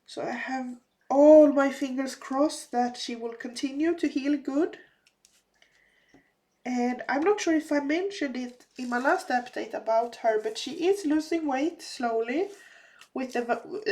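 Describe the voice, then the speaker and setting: A woman chatting casually while crafting, her voice slightly raspy from a recent cold, with many fillers and restarts. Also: sits high, a little mellow. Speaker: crafting vlogger.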